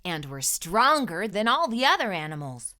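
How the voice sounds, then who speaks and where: A woman reading a storybook aloud to young children, with expressive, emphatic delivery and deliberate phrasing. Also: medium pitch, very noisy.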